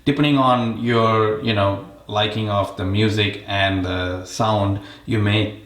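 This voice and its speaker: A man's voice: fairly low pitch, steady tone, slow pace, fairly bright tone, echoey room. A man giving a casual, explanatory product comparison as the host of a tech review.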